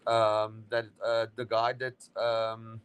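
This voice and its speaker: A man's voice: fairly low pitch, monotone, slow pace, a bit bright, clear, resonant tone. A middle-aged man, a guest chatting on a live stream, rambling with many fillers and restarts. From South Africa. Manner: rambling, full of fillers and restarts, says "brother". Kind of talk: casual chat on a live stream.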